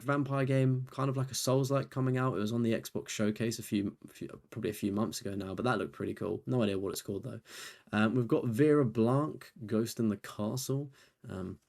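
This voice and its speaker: A man's voice: medium pitch, a little mellow. A young man, a gaming YouTuber, chatting casually through a trophy list while tired and losing his voice, rambling and self-deprecating. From United Kingdom. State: tired, voice nearly gone.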